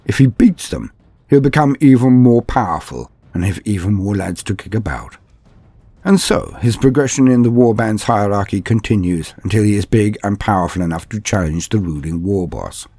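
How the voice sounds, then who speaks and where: A man giving theatrical, measured scripted narration in the style of a fantasy storyteller. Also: fairly low pitch, expressive, dark tone, slightly rough, dry recording. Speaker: narrator of a fantasy lore guide.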